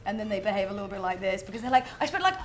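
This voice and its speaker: A woman's voice: medium pitch, lively intonation, very fast. A young woman hosting a casual backstage interview, bubbly and chatty, full of fillers. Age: young adult. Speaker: show host. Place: backstage room with wooden walls at an awards event.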